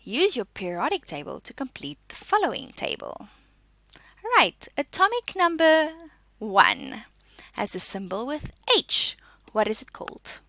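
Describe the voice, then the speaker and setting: A woman's voice: on the high side, huge pitch swings, unhurried, bright, forceful tone, no echo. A woman teacher giving an instructional school lesson, reading notes and explaining exercises, posing questions and answering them. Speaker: science teacher.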